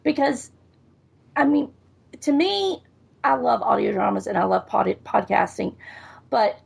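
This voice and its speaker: Gravelly husky-voiced woman host. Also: medium pitch. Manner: halting, searches for words. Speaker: podcast host. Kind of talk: casual interview.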